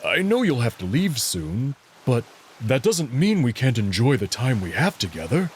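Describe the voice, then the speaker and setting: Man playing Amicus, a wistful, lively-pitched wolf man. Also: moderate pace, slight background noise, lightly acted. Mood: wistful, pleading. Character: big male anthropomorphic wolf, evasive, tipsy, wistful romantic. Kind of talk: visual novel voice acting.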